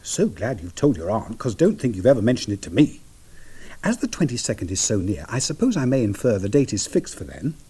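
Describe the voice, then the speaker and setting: Husky, mild Edwardian gentleman lover. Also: fairly low pitch, sing-song, fairly quick, heavily acted. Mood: coaxing. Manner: diffident, whimsical, wooing. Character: mild, affectionate Edwardian gentleman bridegroom, fond of boats. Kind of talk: period radio drama acting.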